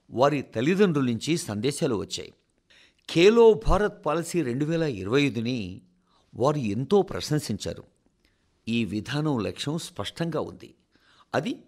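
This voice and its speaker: Expressive Telugu man reading a radio address. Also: mid-range voice. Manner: formal, deliberate phrasing with rhetorical pauses. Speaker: radio reader of a translated national address. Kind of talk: scripted address read aloud for radio.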